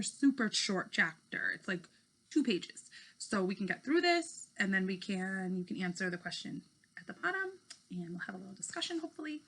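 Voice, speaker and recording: A woman's voice: medium pitch, bright, forceful tone. A woman reading aloud and explaining like a schoolteacher, stressing key words and checking in with her listeners. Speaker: teacher reading aloud to fifth graders. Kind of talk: read-aloud lesson, storybook narration and informal explaining.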